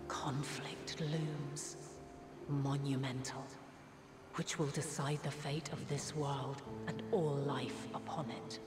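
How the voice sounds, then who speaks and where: A woman's voice: a bass voice, steady tone, takes it slowly. A woman voicing an ancient, solemn and weary goddess in a video game, speaking in stately, archaic phrases. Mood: solemn, foreboding. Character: ancient goddess, solemn, serene, weary, benevolent female primal. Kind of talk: video game cutscene acting, archaic formal speech. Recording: reverberant voice under loud music. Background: loud musical score.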